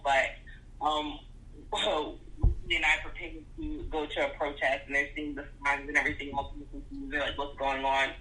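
A man being interviewed as a parent, answering earnestly with halting restarts. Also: on the high side, sharp tone, poor audio. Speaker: guest parent.

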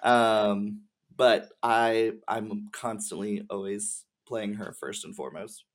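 A man's voice: on the low side, steady tone, takes it slowly. Slangy, effusive young man. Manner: effusive, slangy, uses "like" heavily. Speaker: podcast guest, game streamer. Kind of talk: casual gaming chat.

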